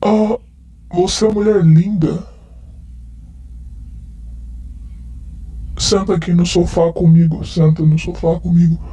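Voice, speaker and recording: A man's voice: sits high, flat delivery, moderate pace, mellow, close to an everyday voice. A man playing a drunk, heartbroken man who keeps begging a female friend to sit with him and let him kiss him, in a repetitive, pleading first-person roleplay.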